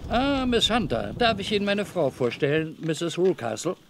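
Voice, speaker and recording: Overly cordial gentleman with veiled menace. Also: sits high, big pitch swings, moderate pace, vocal fry, heavy background noise, exaggerated voice. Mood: jovial, fussy. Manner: wordy, hesitant, patronizing. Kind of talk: German radio drama acting.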